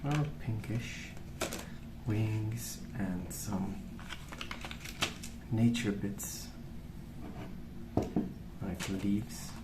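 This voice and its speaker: Guy doing soft asmr rambling, really slow and hushed, kinda hesitant, roomy echo. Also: fairly low pitch, steady tone. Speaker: ASMR video host. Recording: roomy, distant mic with clear noise.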